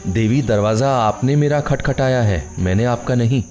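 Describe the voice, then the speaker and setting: Radio play recluse, male actor: mid-pitched man, lofty literary diction, debating and aloof, music underneath. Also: normal speed, warm tone, close to an everyday voice. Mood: stiffly courteous. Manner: formal, literary, argumentative diction. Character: aloof, philosophical man living alone in a forest hut.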